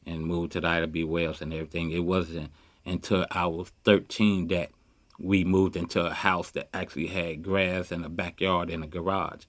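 A man's voice: sits low, level tone, moderate pace, no echo, clean studio sound. A man in his fifties from Chicago, talking boastfully in street slang while interviewed on a city street. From Chicago, USA. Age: 50s. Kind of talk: candid street conversation. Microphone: handheld mic.

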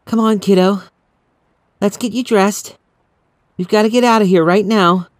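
A man's voice: sits high, steady tone, a little slow, no room sound, studio quality, pitched above the performer's own voice. A man voicing a weary, frightened mother in a horror story, pleading and tender. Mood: tense, tender.

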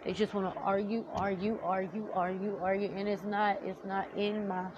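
A woman's voice: mid-range voice, flat delivery, normal speed, muffled recording. A young woman with a slightly nasal, teary-sounding voice, ranting heatedly to camera with blunt, heavy swearing. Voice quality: nasal, like about to cry. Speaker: vlogger. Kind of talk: storytime rant to camera. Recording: noticeable background noise.

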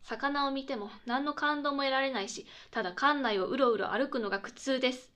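Actress as chirpy lady radio host, highish voice, talks fast, snappy comebacks, late night comedy show. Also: sharp tone. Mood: bright, presenting. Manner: chirpy, polite, quick retorts. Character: cheerful female radio host, playful straight man to sidekick.

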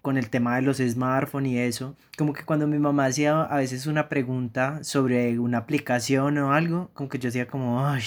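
A young Colombian man co-hosts a podcast and talks in a loose, conversational way. He leans on small fillers as he builds his points. His voice sits at an ordinary middle pitch. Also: fairly even intonation, fairly quick, hardly any pauses. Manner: conversational, uses many fillers like "como" and "pues". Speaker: podcast co-host. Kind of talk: casual chat.

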